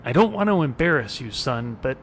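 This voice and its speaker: A man voicing a wry, taunting street bum and vigilante sidekick in an animated series, mock-polite and sardonic. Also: fairly quick, natural voice. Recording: clear low rumbling background noise.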